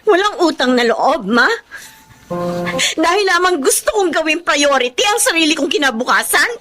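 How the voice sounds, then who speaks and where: A very high, bright young woman played by an actress as a dutiful but resentful eldest daughter in a Filipino melodrama. Her delivery is emotional and swings widely in pitch, with music behind it. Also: expressive, moderate pace. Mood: pleading, indignant. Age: young adult. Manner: emotional, reproachful, pleading.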